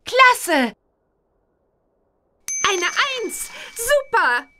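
A woman's voice: very high-pitched, big pitch swings, drawn-out words, bright, forceful tone, full character voice. A woman voicing a perky, eager young witch schoolgirl in a children's computer game, bouncy and exclamatory. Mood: delighted.

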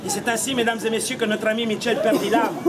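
Very high-pitched theatrical Québécois street performer. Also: male voice, level tone, quick pace. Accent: Québécois French. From Quebec, Canada. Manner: theatrical, projecting to a crowd. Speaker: street puppeteer and comedian. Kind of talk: comic storytelling to a street audience. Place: outdoors in a town street. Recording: noisy open street, loud crowd.

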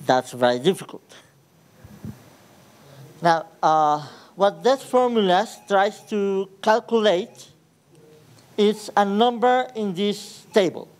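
Slow-speaking Ecuadorian man giving a tech talk. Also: high-pitched, lively intonation, darkish tone. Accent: Ecuadorian Spanish. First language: Spanish. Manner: hesitant, frequent fillers and restarts. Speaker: conference speaker. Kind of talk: technical conference talk. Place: large conference hall with audience.

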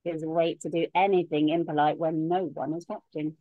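A woman's voice: sits low, level tone, normal speed, dry recording, narrow, tinny audio, natural voice. A woman on a video call reading the part of a polite but mischievous young woman, speaking wryly. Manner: wry, playful.

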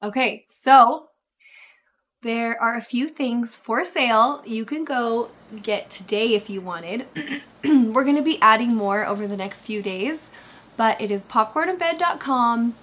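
A woman in her thirties, slightly hoarse, chatting casually with viewers on a livestream from her bed, with lots of fillers. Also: a little high, a little slow, fairly bright tone. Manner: chatty, reads chat aloud, many fillers. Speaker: livestream host. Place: bedroom, sitting in bed. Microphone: webcam framing.

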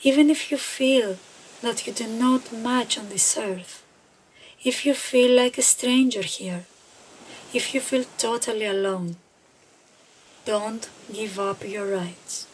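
Slow-paced woman guiding a meditation. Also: on the high side. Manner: gentle, unhurried, deliberate phrasing with pauses. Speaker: meditation guide. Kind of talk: guided meditation narration. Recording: clearly noisy, ambient background sound.

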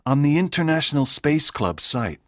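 A man's voice: fairly high pitch, very animated, normal speed, dry recording, studio quality. A man playing an eager, earnest teenage boy who explains things in a dramatized audiobook. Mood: excited.

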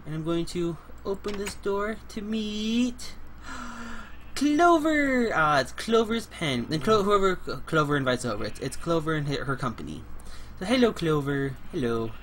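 A young man hosting a casual game let's play, playful and chatty, getting over a cold and clearing his throat now and then. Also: high voice, animated, a little slow, a bit bright, a little hiss or hum. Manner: playful, chatty, talks to animals and co-player in a cutesy way. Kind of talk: casual gameplay commentary.

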